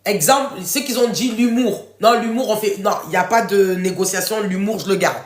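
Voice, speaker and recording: A woman's voice: mid-range voice, brisk. A woman with a head cold hosting a casual live coaching workshop in French, speaking informally and affectionately to her listeners as she encourages them. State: congested, runny nose from a cold. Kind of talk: casual interactive coaching livestream, reading out participants' answers. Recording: roomy, echoing room sound.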